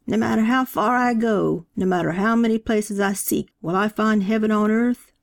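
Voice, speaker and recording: A narrator doing a fervent old preacher, gravelly. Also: medium pitch, steady tone, moderate pace, pitched above the performer's own voice, lightly acted. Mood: preaching. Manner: shouting rhetorical questions, preaching cadence. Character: fervent elderly male preacher, theatrical. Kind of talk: audiobook character voice.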